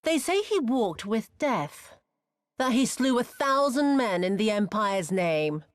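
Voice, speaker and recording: An actress playing a cruel, vengeful woman in a video game, mocking and imperious, with a theatrical, drawn-out delivery that sinks into breathy whispers. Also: fairly high pitch, expressive, no echo, studio quality. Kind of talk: dramatic video game cutscene acting.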